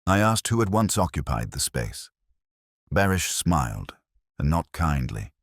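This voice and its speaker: Very deep, husky male narrator. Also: sing-song, unhurried, no room sound, studio quality. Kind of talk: scripted first-person detective story narration.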